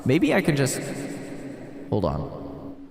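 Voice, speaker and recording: A man's voice: mid-range voice, huge pitch swings, slow pace, creaky, a little hiss or hum. A man hosting a livestream, moving between rambling casual chat to himself and dramatic reading of a spooky story aloud. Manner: rambling, talks to himself while tinkering. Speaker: streamer reading a visual novel aloud. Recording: heavy added reverb and echo, music.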